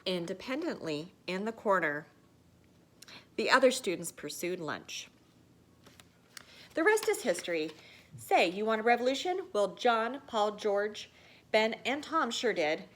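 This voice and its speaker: Lively, expressive woman reading a picture book. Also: medium pitch, a little slow, pauses often. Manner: expressive, theatrical storytelling. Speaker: teacher reading aloud. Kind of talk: animated picture-book read-aloud.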